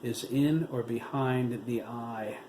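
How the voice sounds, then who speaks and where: A man's voice: medium pitch, close to an everyday voice. A man voicing a cold, clinical doctor. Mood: detached. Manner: matter-of-fact and measured, at times hushed. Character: cold, clinical male doctor doing experiments. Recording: roomy room with steady hiss.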